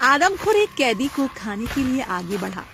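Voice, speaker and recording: Female story narrator: scripted, dramatic, high register with very lively intonation. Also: normal speed, faint music behind.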